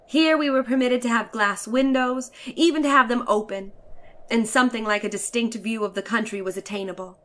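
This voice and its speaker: A woman's voice: on the high side, fairly quick, a bit bright, close to an everyday voice. A woman giving a dramatic story reading as a haughty, chatty traveler, with emphatic, ornate, declamatory delivery. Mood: chatty, admiring.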